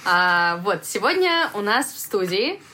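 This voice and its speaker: A woman's voice: medium pitch, big pitch swings, measured pace, sharp tone. A woman in her early twenties hosting a casual podcast chat, with faint noise behind her. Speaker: podcast host.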